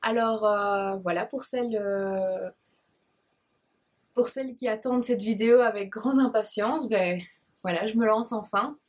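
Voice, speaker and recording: A woman's voice: medium pitch, a little slow. A shy woman in her twenties with a Belgian French accent from Liège, answering viewer questions to camera, hesitant and full of fillers and restarts. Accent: Belgian French, Liège province. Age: 20s. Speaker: beauty blogger and vlogger.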